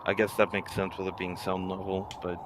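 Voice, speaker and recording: A man's voice: low voice, completely flat delivery, brisk, background noise. A man making casual, joking commentary as co-player during a game playthrough.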